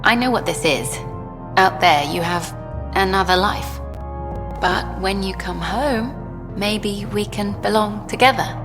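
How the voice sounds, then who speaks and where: An actress playing a flirtatious, worldly journalist in a video game, talking in an intimate, teasing way. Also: female voice, mid-range voice, a little slow, natural voice. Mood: confiding. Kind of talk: video game dialogue acting. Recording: hall reverb with music behind.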